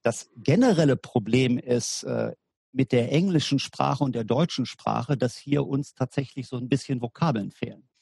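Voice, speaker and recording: A man's voice: medium pitch, moderate pace, creaky, a little mellow, breathy edge, dry recording. A man from Germany, a guest on a podcast interview, explaining his field at length in a hesitant way with many fillers. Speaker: guest, war gaming lecturer.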